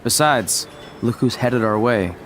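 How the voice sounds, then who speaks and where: Young male wrestler hero for a game story, played by a man; earnest, relaxed everyday voice, middle register. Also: mid-range voice, measured pace, a little hiss or hum, lightly acted. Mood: easygoing. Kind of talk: video game cutscene acting and narration.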